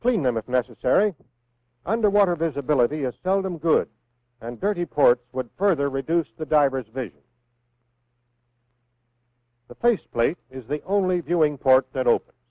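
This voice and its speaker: An American man reading scripted instructions in a measured, clearly enunciated way, as the narrator of a 1960s military training film. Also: a little high, animated, no room sound. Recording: phone-like vintage 1960s film soundtrack.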